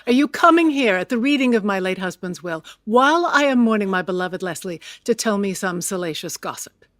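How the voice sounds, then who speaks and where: An actress playing a wealthy, haughty 1920s widow with an arch, sardonic manner in an audio drama. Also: female voice, medium pitch, lively intonation, brisk, a bit bright, no room sound, clean studio sound, natural voice. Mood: haughty, suspicious. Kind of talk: period audio drama acting.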